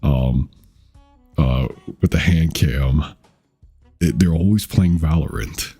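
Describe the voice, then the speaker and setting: A young man with a deep voice, chatting casually with his stream viewers in a rambling, halting way, with lots of fillers, casual swearing and frequent laughs. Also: warm tone, husky, faint music behind. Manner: rambling, halting, with many fillers and self-corrections. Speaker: VTuber streamer.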